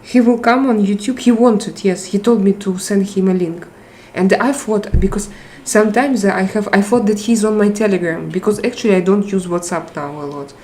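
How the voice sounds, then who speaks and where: A middle-aged woman with a Russian accent chatting casually on a live stream, halting and restarting often as she searches for words. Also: medium pitch, darkish tone, a little hiss or hum. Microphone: tablet microphone.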